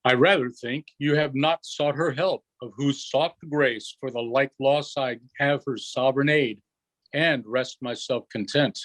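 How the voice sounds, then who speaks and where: Man reading as commanding, measured wronged duke. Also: mid-range voice, fairly bright tone, lightly acted, poor audio. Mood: measured, grave. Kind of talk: unrehearsed virtual Shakespeare reading.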